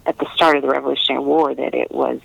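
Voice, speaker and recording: A woman's voice: rumbling low, steady tone, rapid-fire, vocal fry, no room sound, dull audio. A middle-aged American woman, a novelist, speaking earnestly and reflectively in a conversational interview. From United States. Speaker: historical romance novelist being interviewed.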